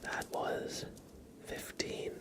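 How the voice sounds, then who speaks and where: A man hosting an ASMR livestream, speaking in a soft, breathy whisper with a gentle, informal way of chatting to viewers. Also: drawn-out words. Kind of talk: whispered ASMR with casual chat to live viewers.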